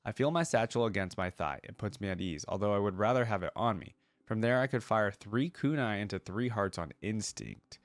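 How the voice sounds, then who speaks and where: A man reading a story aloud as a cocky, playful young female assassin, with cool, mocking, measured delivery. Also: on the low side, lively intonation, no echo. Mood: coolly confident. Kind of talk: first-person story read-aloud.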